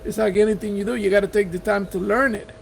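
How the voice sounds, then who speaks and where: Middle-aged Puerto Rican man with an accent, high gravelly voice, earnest encouraging investor vlog, faint hum behind. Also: steady tone, fast. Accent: Puerto Rican Spanish. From Puerto Rico. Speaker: real estate investor. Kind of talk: motivational talk to camera. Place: house.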